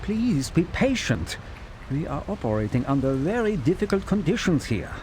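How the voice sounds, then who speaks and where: An actor playing an anxious, bookish Nazi scientist in a game cutscene, with a German accent and a pedantic, pleading manner. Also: male voice, a little high, big pitch swings, normal speed, darkish tone, a little hiss or hum. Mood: flustered, impatient. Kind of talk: dramatic game cutscene acting.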